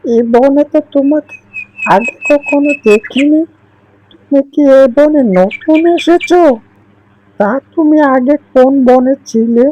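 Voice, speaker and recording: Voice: sits high, slow pace, warm tone. A voice actor playing a kind, trusting female songbird who talks in a reassuring, welcoming way. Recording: noisy recording.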